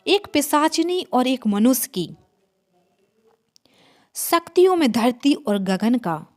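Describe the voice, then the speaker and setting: A woman's voice: high-pitched, very animated, slow pace, darkish tone, heavily acted. A woman storyteller voicing an arrogant, bloodthirsty vampire queen in a horror story, with a haughty, commanding manner. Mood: arrogant, scornful. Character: arrogant five-hundred-year-old vampire queen, man-hating and bloodthirsty.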